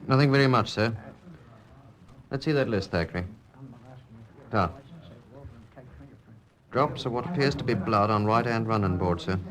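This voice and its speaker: A low male voice with clear background bustle in a vintage radio play. An actor plays a sergeant, businesslike and respectful. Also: normal speed, long pauses, crowd noise, close to an everyday voice, poor audio. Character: businesslike, deferential male sergeant at crime scene. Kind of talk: old radio drama acting.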